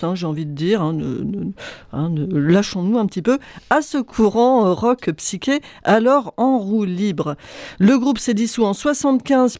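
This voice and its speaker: A woman's voice: mid-range voice, darkish tone, no room sound. A woman presents a music column on the radio in a chatty, self-mocking way that often tips toward giggles. Her throat sounds a little congested. The sound is close and dry. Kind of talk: radio music chronicle, playful.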